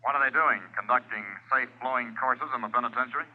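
A formal, dry Mountie inspector played by a man in an old radio drama. The mid-pitched voice is crisp and commanding, thinned by a tinny, phone-like recording. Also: fairly even intonation, quick pace, close to an everyday voice. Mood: official, concerned. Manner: crisp, official. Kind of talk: 1950s radio drama acting.

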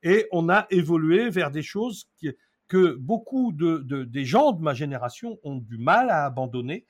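Husky older man, halting then emphatic. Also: fairly high pitch, animated. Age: 60s. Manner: hesitant, many restarts, then emphatic bursts. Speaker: interview guest, architecture and housing professional. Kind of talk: reflective interview talk.

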